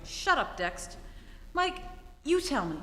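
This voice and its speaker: A woman acting a headstrong, sharp-tongued society bride in a 1940s-style radio comedy, theatrical and sardonic. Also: high-pitched, sing-song, slow, full character voice. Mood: exasperated, bewildered. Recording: echoey hall, live stage reenactment.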